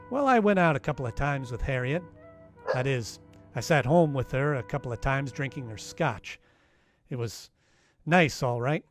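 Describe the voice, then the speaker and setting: A man playing a sardonic, wisecracking private detective in the style of 1940s radio drama. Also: on the high side. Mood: wry narration. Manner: sardonic, taunting, wry. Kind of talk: 1940s radio detective acting, live performance. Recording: noisy home live-stream recording.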